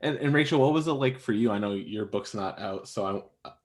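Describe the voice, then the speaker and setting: A man's voice: lowish voice, fairly quick. A man hosting a casual panel interview with authors, informally rephrasing questions as he goes. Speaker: interview host.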